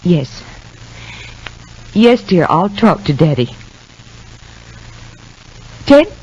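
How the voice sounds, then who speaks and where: A woman's voice: fairly low pitch, big pitch swings, extremely slow, darkish tone, slight background noise, heavily acted. An actress playing a shaken, worried mother in a 1950s radio soap opera, speaking in an earnest, fretful way. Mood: anxious, relieved. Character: anxious, shaken mother worried about her young son. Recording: dull, muffled vintage radio recording.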